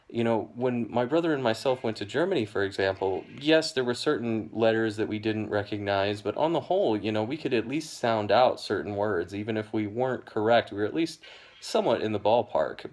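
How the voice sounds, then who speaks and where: A young American man explaining a topic to camera in a measured, hedging way, with frequent ums and "you know". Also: fairly low pitch, darkish tone. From United States. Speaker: educational video host.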